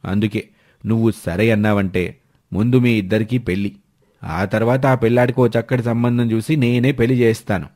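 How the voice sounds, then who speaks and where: A man voicing a blunt, overbearing older uncle who scolds colloquially in an animated village story. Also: lowish voice, normal speed, close to an everyday voice. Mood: scolding, pushy.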